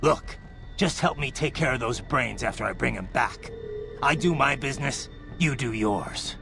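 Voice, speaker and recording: A man voicing a grim, hardened cyborg soldier in a video game, speaking tersely and broodingly in a low gravelly voice. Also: animated, moderate pace, over music. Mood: hard, curt. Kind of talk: dramatic video game voice acting.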